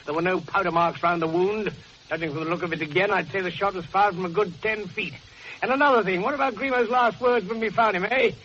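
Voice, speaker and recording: A man's voice: high voice, fast, a bit bright. An actor playing an older, teasing, self-assured amateur detective in a radio mystery, avuncular and commanding as he quizzes people. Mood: insistent. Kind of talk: full-cast radio mystery drama acting. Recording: muffled noisy old radio recording.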